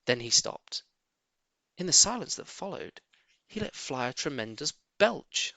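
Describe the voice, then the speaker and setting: A man reading a children's storybook aloud in an expressive, theatrical style. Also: mid-range voice, a little slow, gravelly, dry recording. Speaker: audiobook reader. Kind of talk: animated scripted narration of a children's storybook.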